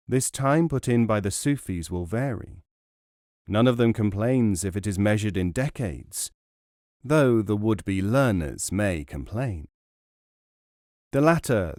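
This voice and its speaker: A man reading an essay as a scripted audiobook narration, in a measured, formal way. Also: fairly low pitch, darkish tone, no echo, clean studio sound.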